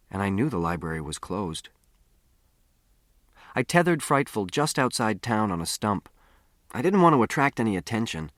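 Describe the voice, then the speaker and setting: A man reading a first-person story as an expressive audiobook narrator. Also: lowish voice, dry recording, studio quality.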